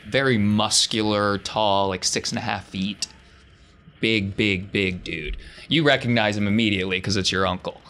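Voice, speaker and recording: Low-voiced man running a tabletop game. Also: steady tone, normal speed, sharp tone. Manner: conversational, improvised descriptions. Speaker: game master. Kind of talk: narrating a tabletop role-playing game.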